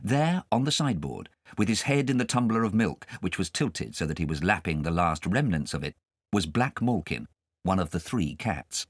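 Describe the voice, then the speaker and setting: A man's voice: low-pitched, very animated, normal speed, no echo, clean studio sound. A man reading a children's story as scripted audiobook narration.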